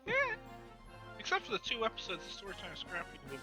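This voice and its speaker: A man's voice: high voice, wildly swooping pitch, fast, sharp tone, faint music behind. A man chatting casually as a guest among friends on a show. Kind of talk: casual banter.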